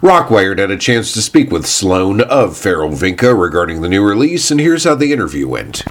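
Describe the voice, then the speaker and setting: Male announcer, very low voice, lively pitch, scripted intro read. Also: moderate pace. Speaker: radio show announcer.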